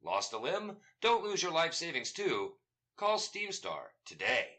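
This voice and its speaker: A man's voice: fairly high pitch, wildly swooping pitch, unhurried, bright, forceful tone, full character voice. A man playing a slick, earnest lawyer-ad announcer in a sci-fi parody commercial voice-over. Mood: earnest sales pitch. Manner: earnest, salesmanlike.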